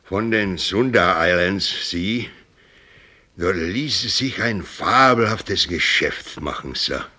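An actor playing an old, jovial sea captain in a radio play, rambling and halting, peppering his talk with English words. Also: low voice, animated, bright, forceful tone, full character voice. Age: older. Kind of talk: German radio play acting.

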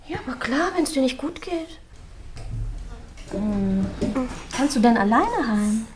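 Caring, firm teacher, high voice, slow delivery, radio play, echoey noisy room. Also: female voice, sing-song. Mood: concerned. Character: caring but firm female primary school teacher. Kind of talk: children's radio play dialogue.

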